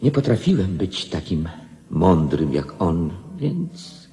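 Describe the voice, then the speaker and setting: Low-voiced, humbled young king. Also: big pitch swings, slow pace, mellow, heavily acted. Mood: remorseful. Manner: pleading, formal. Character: defeated young rival king, penitent, envious, humbled. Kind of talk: radio play acting. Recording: long reverberant echo.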